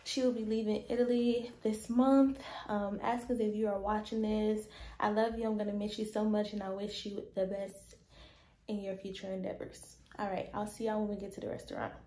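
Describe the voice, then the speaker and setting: A woman's voice: medium pitch, flat delivery, a little fast, mellow. A woman in her twenties chatting casually as a vlogger into a phone held at arm's length. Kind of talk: casual vlog chat. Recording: clear background noise on phone audio.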